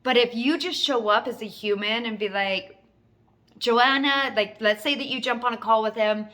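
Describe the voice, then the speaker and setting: A woman's voice: fairly high pitch, normal speed, pushed and bright. A woman giving an informal sales training talk as a coach, emphatic and instructive, repeating key phrases for stress. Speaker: network marketing coach.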